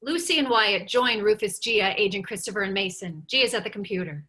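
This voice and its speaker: A woman's voice: mid-range voice, animated, fairly quick, bright, forceful tone. A woman narrator reading stage directions from a script at a table read.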